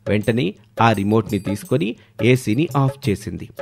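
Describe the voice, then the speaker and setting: A man's voice: lowish voice, lively intonation, normal speed, gravelly, faint music behind. A man narrating a scripted family moral story as its storyteller.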